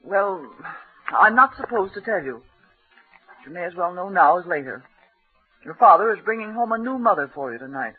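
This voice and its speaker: Husky, scolding housekeeper. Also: female voice, lowish voice, expressive, moderate pace, pauses often. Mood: fed up. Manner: scolding, sharp-tongued. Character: stern, exasperated housekeeper, adult woman. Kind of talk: 1940s radio drama acting. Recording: muffled vintage radio recording.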